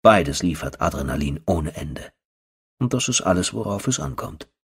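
Very deep, husky male horror narrator. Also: lively intonation, normal speed. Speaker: audiobook narrator. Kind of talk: first-person horror narration, dramatic.

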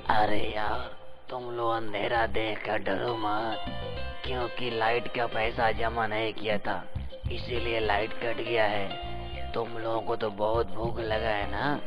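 Sly old ghost grandpa from a cartoon, super slow coaxing talk, loud music behind. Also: male voice, fairly low pitch, little pitch movement. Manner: slow, coaxing, drawn-out invitations. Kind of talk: Hindi cartoon voice acting.

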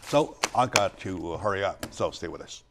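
An older man hosts a studio talk show, animated and addressing the audience with many fillers. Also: low voice, normal speed, very noisy. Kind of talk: studio interview. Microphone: lapel mic.

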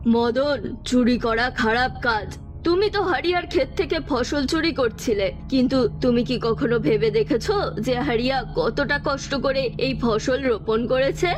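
A woman voicing a wise, forgiving magic moon in a moral cartoon, gently admonishing. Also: high voice, faint music behind. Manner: admonishing, measured.

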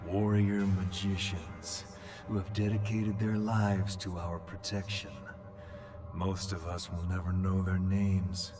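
A male actor playing a grave, solemn narrator in a horror game, speaking in measured, portentous phrases. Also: sits low. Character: grave male narrator warning of ancient evil. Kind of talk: solemn scripted cinematic narration. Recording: reverberant voice over loud music.

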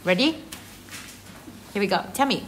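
A woman with a Vietnamese accent teaching English, giving short, patient prompts and quick corrections to a child in a speaking drill. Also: medium pitch, expressive, background noise.

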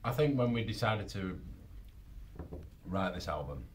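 A man's voice: low-pitched, animated, unhurried, background noise. A man answering interview questions carefully and thoughtfully, with hesitant fillers, speaking into a handheld mic in a hotel conference room. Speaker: band member.

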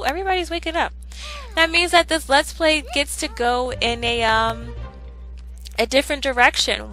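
Chatty woman with a bright voice. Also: fairly high pitch, low-quality recording. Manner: chatty, upbeat, rambling with fillers. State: slight tickle in throat, under the weather. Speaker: let's play host. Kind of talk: casual gameplay commentary.

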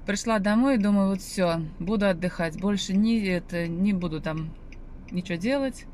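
A woman's voice: medium pitch, normal speed. A woman in her forties from Russia chatting casually to her viewers from her car, rambling with many fillers and restarts. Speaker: vlogger. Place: inside a parked car, and walking outdoors. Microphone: phone camera on the dashboard. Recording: loud car and street noise.

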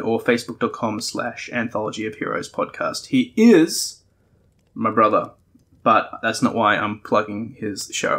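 A man with a slightly low voice presents a podcast review. He explains his points thoughtfully, moving between conversational talk and more scripted delivery. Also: a bit bright. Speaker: podcast host.

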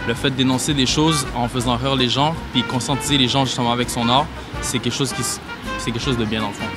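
A man's voice: medium pitch, steady tone, fast talker, music in the background. A man with a Québécois accent, giving brief thoughtful answers to a street interviewer. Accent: Québécois French. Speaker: passerby. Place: indoor shopping mall.

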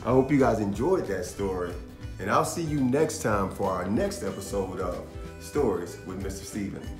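A man in his thirties reading a picture book aloud to young children, with deliberate, dramatic storyteller pauses. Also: lowish voice, animated. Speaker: children's storytime host and reader. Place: small indoor room in a house. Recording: roomy echo, faint music.

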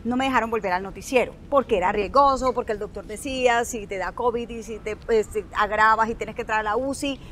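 A woman's voice: on the high side, a little fast, few pauses, slight background noise. A woman in her thirties with a Colombian Caribbean coast accent, telling stories animatedly as an interview guest outdoors. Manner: expressive, acts out dialogue. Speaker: guest, news anchor. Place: outdoor resort terrace.

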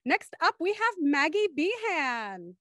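Young woman hosting a stream, high voice, upbeat, dry mic sound. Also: big pitch swings, slow pace, fairly bright tone, dry recording. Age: young adult. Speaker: show host. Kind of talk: upbeat livestream hosting, introducing guests. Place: small room at home, video call. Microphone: studio microphone on a stand, headphones.

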